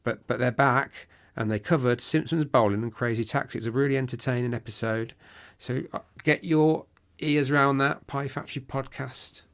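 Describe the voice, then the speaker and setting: A man's voice: medium pitch, normal speed, hardly any pauses, fairly bright tone. A British man co-hosting a podcast, talking casually and rambling with lots of restarts, his voice a little worn from talking. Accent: British English. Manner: chatty, rambling with frequent restarts. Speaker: podcast co-host. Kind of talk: casual chat.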